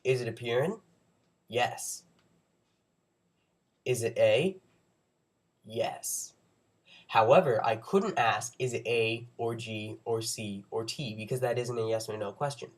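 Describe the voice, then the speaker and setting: A man's voice: mid-range voice, slow pace, long pauses. A young man with a cold and a congested voice, giving an informal science explainer with wry, nerdy, self-joking asides. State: under the weather with a cold. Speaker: presenter of an educational video.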